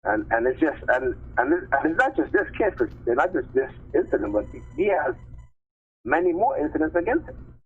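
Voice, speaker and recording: A man's voice: a little high, very animated, a little fast, breathy edge, narrow, tinny audio. A man giving informal, emphatic commentary on news articles as a live stream host, often checking for agreement with short tag questions.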